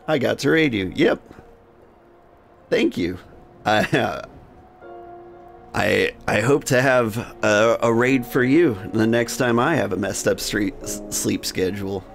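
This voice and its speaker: A man with a fairly low, distinctly creaky voice hosts a game livestream over light music. He moves between reading out game text and casual, rambling asides with a joking streak.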